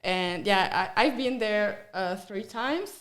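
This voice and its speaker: A woman's voice: mid-range voice, lively intonation, slow, a bit bright. A young woman with an Italian accent, a podcast guest chatting casually with many fillers and small laughs.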